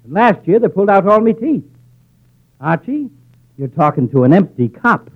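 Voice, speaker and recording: Blustery Irish policeman, middle-aged, hefty, high and animated, 1940s radio comedy, tinny band-limited sound. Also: normal speed, very noisy. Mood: blustering.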